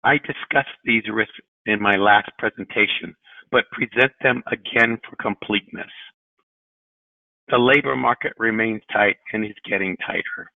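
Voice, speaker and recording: A middle-aged male chief executive delivers prepared financial remarks in a formal, measured way. His voice has a gravelly creak and comes over a narrow, tinny phone line, dry with no room sound. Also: fairly low pitch, no echo. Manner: formal, measured, deliberate phrasing. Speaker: company president and CEO. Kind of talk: prepared corporate earnings presentation. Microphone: telephone on a conference call.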